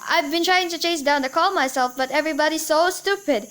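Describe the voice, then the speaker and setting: A woman's voice: high-pitched, brisk, fairly bright tone. A woman playing a nervous, demanding invalid wife in a radio thriller, agitated and insistent. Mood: agitated, urgent. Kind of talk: radio play acting.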